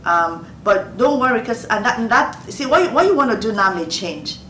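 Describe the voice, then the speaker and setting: A woman's voice: on the high side, animated, moderate pace, fairly bright tone. A woman in her fifties from Nigeria with a Nigerian accent, giving earnest advice in a Q&A and repeating phrases for emphasis. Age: 50s. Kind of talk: motivational Q&A talk. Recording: roomy distant mic, faint noise.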